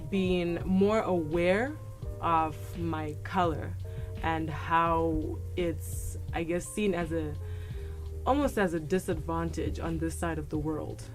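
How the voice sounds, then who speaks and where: Lowish young woman from Zimbabwe, music behind. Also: steady tone, takes it slowly. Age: young adult. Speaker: international student podcast guest. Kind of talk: personal reflection in conversation.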